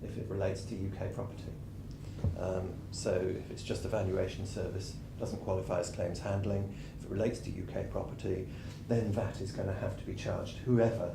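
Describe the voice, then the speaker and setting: A man with a fairly low voice, hoarse and losing it, presents in a measured explanatory way, stopping often to clear his throat. He was recorded in an echoey conference room with a steady low rumble behind him. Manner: measured, explanatory, with frequent ums. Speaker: tax adviser presenting a session.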